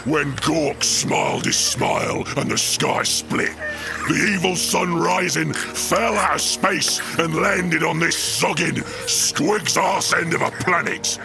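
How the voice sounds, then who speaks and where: An actor playing a brutish, bullying ork mechanic boss in a scripted audio drama, gravelly and scornful, with rough grammar. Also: male voice, on the high side, huge pitch swings, slow, over music, heavily acted, low-quality recording. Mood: indignant, urgent. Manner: bellowing, scornful, rough ungrammatical speech.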